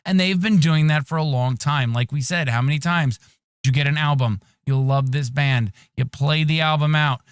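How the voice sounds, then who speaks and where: A man's voice: a little high, normal speed, smooth, no room sound, clean studio sound. A man with a whiny, nasal voice co-hosting a podcast through an EQ'd mic, chatting casually and giving his opinions. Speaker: podcast co-host. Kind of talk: casual chat.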